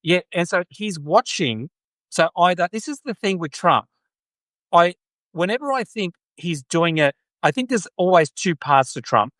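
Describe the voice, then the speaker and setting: A man's voice: high-pitched, expressive, measured pace, fairly bright tone, no echo. A man with an Australian accent hosting a podcast, talking casually about politics with frequent restarts. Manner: conversational, frequent restarts and agreeing. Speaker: podcast host.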